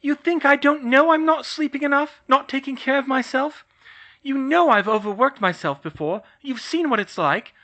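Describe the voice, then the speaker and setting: A narrator voicing a distraught, overworked young witch who shouts and breaks down. The voice is high, strained and loud, in a storybook reading. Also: normal speed. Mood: frantic. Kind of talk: audiobook reading of fan fiction. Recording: slightly muffled recording.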